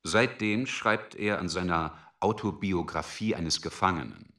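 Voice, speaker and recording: A man's voice: deep voice, measured pace, bright, forceful tone, exaggerated voice. A man reading scripted narration for a radio drama.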